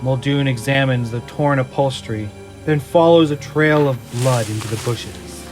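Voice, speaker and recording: A man's voice: mid-range voice, moderate pace, a little mellow. A man reading scripted dramatic narration for an audio drama in a suspenseful storytelling manner. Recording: music and effects behind narration.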